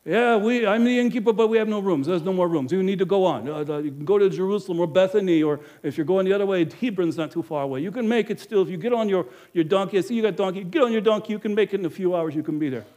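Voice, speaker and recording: A man acting out a middle-aged innkeeper in a dramatic first-person monologue, emphatic and animated, swinging between loud and hushed. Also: on the high side, moderate pace, pauses often. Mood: insistent. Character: middle-aged male innkeeper, money-minded and guilt-ridden. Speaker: actor.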